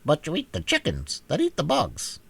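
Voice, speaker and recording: Storybook talking hen, played by a man: chatty, self-assured, higher-pitched, gravelly, quick to retort. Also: huge pitch swings, a bit bright, no echo, exaggerated voice. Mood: puzzled, argumentative. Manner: matter-of-fact, unflappable, quick to retort. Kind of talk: storybook character voice in audiobook reading.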